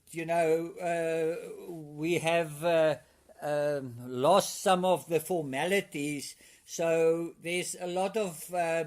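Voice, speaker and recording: Man, Afrikaans accent, first language Afrikaans, middle-aged, unhurried, halting with fillers. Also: a little high. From South Africa. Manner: halting, many fillers and restarts. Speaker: guest. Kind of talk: casual interview.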